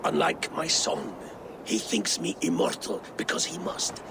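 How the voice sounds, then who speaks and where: An actor playing an aging, ailing Viking jarl in a video game, a proud father and clan leader with a gravelly, breathy voice. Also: fairly high pitch, big pitch swings, measured pace, noisy background, heavily acted. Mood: weary, confiding. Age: older. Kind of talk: dramatic video game acting.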